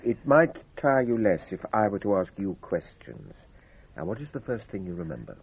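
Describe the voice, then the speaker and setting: A formal, considerate male magistrate in a radio drama. His voice is deep and heavily rasping, with lively swings of pitch as he questions politely and methodically. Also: moderate pace, full character voice.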